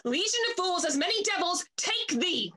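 A woman's voice: extremely high pitch, very animated, normal speed, pushed and bright, heavily acted. A woman playing a bitter, proud society lady in a classical stage comedy, scornful and theatrical. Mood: bitter, wounded. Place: indoors at home, on a video call.

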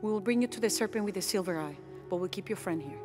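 An actress playing a middle-aged, dutiful rebel queen in a video game, speaking formally with measured authority. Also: mid-range voice, over music, lightly acted. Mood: guarded, firm. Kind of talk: cinematic video game acting.

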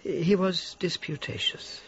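Very husky, grave friar. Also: male voice, high-pitched, fairly even intonation, takes it slowly, fairly bright tone, heavily acted. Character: grave, solid Dominican friar, disappointed and measured. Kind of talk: radio drama acting. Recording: slightly dull broadcast sound.

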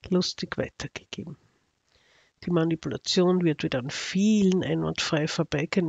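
A man's voice: on the high side, vocal fry, dry recording. A man with an Austrian accent giving casual, unscripted commentary as an astrology video narrator, in a sardonic, ironic manner.

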